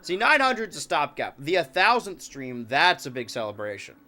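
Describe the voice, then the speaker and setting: A man's voice: a little high, huge pitch swings, normal speed, sharp tone. A man live-streaming from home with a stuffed-up nose, chatting and joking with his viewers and his cats. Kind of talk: casual live-stream chat.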